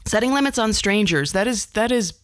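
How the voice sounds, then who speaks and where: A woman's voice: mid-range voice, animated, fairly quick, no room sound, clean studio sound. A middle-aged American woman hosting a podcast in a casual, rambling, wry and self-deprecating way, with hesitations. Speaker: podcast host.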